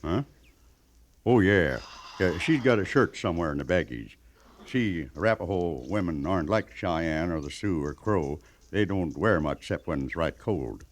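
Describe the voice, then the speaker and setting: Plain-spoken frontier scout, low voice, folksy, deferential, old radio drama. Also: male voice, animated, moderate pace. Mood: casual. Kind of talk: 1950s radio western acting. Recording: old 1950s radio recording.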